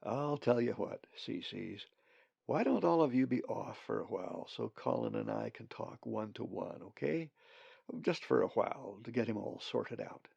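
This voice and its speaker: A man voicing a wise female great raven who leads the flock, calm and reassuring. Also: fairly low pitch, normal speed, gravelly, breathy edge, no room sound, lo-fi sound, heavily acted. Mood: kindly.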